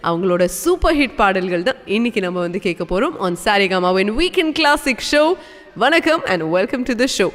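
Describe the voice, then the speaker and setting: A woman hosting a radio show in an excited, chatty way, talking straight to her listeners. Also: medium pitch, long reverb tail. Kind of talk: upbeat radio show presenting.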